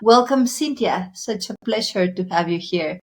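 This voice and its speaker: A woman hosting a podcast interview, speaking in a measured, soft-spoken way. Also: mid-range voice, expressive. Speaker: podcast host.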